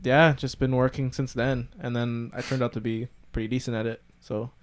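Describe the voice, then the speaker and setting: A man's voice: mid-range voice, level tone, normal speed, clean tone. A young man hosting a casual podcast chat, rambling with lots of fillers and asking his friends questions.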